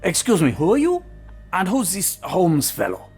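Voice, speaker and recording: A man playing a befuddled, forgetful former professor in a video game, politely hesitant, with a husky, creaky voice. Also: sits high, huge pitch swings, normal speed, darkish tone, faint music behind, full character voice. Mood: puzzled. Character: befuddled, amnesiac asylum patient, a former professor.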